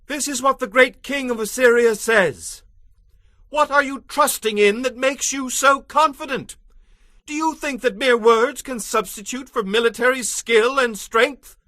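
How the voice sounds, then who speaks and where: An actor playing a haughty, taunting royal envoy who delivers a threat with scornful questions in a dramatized audio Bible. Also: male voice, very high-pitched, unhurried, pushed and bright, dry recording, studio quality, full character voice. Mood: scornful challenge. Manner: challenging, declamatory, scornful questioning.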